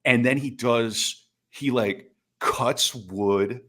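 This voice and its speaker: A man's voice: mid-range voice, drawn-out words, sharp tone. A man speaking as a podcast guest, telling stories casually with offhand asides.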